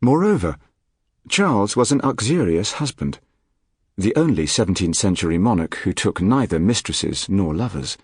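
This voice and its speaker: A man reading a nonfiction audiobook in a measured, articulate, formal manner. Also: lowish voice, big pitch swings, darkish tone, slightly rough, no room sound, studio quality. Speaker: audiobook narrator. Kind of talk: scripted nonfiction audiobook narration. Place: dry recording studio.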